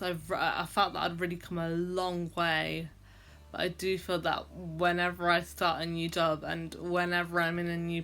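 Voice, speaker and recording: Slow-talking woman who stammers. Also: fairly low pitch, sharp tone. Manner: stammers, with repeated sounds and blocks; reflective, many fillers. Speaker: vlogger. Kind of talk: personal vlog talk to camera.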